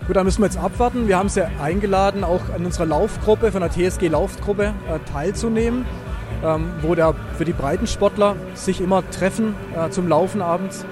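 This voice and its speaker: Man, high pitch, event organizer, spontaneous interview answers, music in background. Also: brisk. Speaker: race organizer being interviewed. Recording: outdoor event with music behind. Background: music and outdoor event sound.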